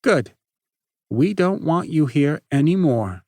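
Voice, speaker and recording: A jeering teenage bully with a cold, hard edge, as a man puts him on, pitched well above his own low voice and pushed loud. The sound is dry and close. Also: huge pitch swings, unhurried, husky, dry recording, full character voice. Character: cruel taunting teenage boy, jeering bully.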